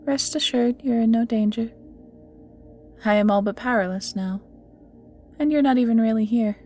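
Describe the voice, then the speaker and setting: A woman's voice: fairly high pitch, normal speed, dark tone, lightly acted. A woman playing an ancient banished evil magical being in an audio roleplay, flirty and world-weary, musing theatrically and often sinking to near-whispers, with music behind. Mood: teasing menace. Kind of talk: scripted audio roleplay acting, addressing the listener.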